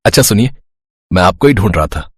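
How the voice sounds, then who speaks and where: A well-bred, smitten young man in a cartoon story. He sounds friendly and hesitant, with a low, husky tone in a dry recording. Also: expressive, fairly quick, no room sound. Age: young adult. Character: polite, well-mannered rich young man, shyly smitten.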